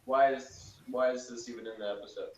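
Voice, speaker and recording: A man's voice: medium pitch, steady tone, a little fast, dull audio. Blunt man, distant in an echoey room. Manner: blunt, dismissive. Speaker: podcast panelist. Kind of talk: casual critical commentary. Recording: echoey room, far from mic.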